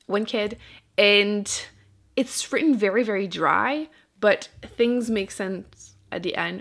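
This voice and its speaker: A woman with a noticeably creaky, fry-edged voice at a middling pitch. She chats informally as a book vlogger, rambling a little and cutting into her own sentences with dry understatement. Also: a bit bright. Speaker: book vlogger and channel host. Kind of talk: casual chat to camera about books.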